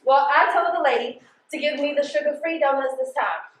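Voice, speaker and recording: A woman's voice: high voice, brisk, lightly acted. A woman playing a sassy, blunt, fun-loving postal carrier on stage, with a teasing manner. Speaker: stage actress. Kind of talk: live stage play acting, colloquial dialogue. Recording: echoey stage room with faint noise.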